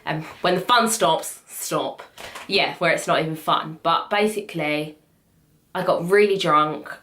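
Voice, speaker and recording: A woman's voice: low voice, unhurried, fairly bright tone. A woman, chatting candidly to camera in her bedroom with lots of "like". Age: 20s. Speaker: vlogger. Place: small bedroom indoors. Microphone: camera at desk distance.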